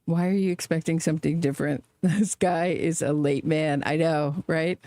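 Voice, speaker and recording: A woman hosting casual, chatty true crime commentary on a trial, sardonic and quick to laugh at her own asides. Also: low-pitched, fairly even intonation, dark tone, no room sound.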